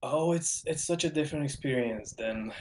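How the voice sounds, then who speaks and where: A young man with a Hungarian accent talks as a guest in a casual interview. His voice sits at a middle pitch with a noticeably roomy echo around it, and he often doubles back on words as he explains things. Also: moderate pace, a little mellow. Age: young adult. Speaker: podcast guest. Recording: roomy, echoing voice.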